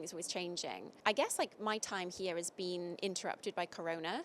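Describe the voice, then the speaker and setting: A woman from the UK with a British accent, giving thoughtful, measured answers in a casual interview. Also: fairly low pitch, a bit bright, slight background noise. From United Kingdom. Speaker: interviewee, video creator.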